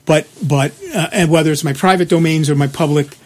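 A man hosting a call-in radio show about technology, explaining things in a relaxed, drawn-out way. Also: on the high side. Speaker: radio tech show host.

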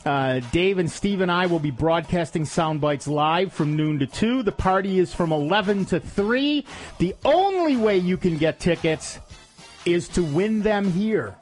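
Easygoing male radio host, slightly high. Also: measured pace, soft background music. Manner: relaxed, rambling with fillers. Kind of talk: casual call-in radio chat. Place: radio studio. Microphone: studio mic with headphones.

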